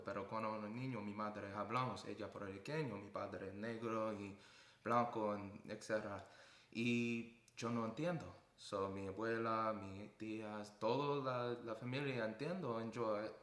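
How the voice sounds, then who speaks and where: A man hosting a podcast, talking in a lively, jokey way and mixing broken Spanish into his English. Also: fairly low pitch, reverberant. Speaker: podcast host. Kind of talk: casual interview chat.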